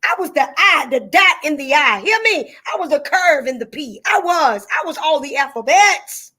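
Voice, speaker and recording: Emphatic high-voiced woman preaching candidly. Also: sing-song, normal speed, bright, forceful tone. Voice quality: preachy, emphatic, repetitive cadence. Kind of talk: candid testimony-style chat.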